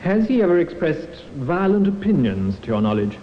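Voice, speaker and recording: An actor playing an earnest defence barrister in an old radio courtroom drama, formal, precise and persistent. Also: male voice, a little high, very animated, moderate pace. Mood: probing. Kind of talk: 1950s radio drama acting. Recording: muffled, hissy old radio recording.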